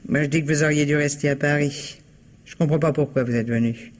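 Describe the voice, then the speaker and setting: An actress playing a blunt, wary housekeeper in a radio mystery, plain-spoken and offhand. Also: female voice, low voice, steady tone, fairly quick, close to an everyday voice. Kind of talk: old French radio mystery acting.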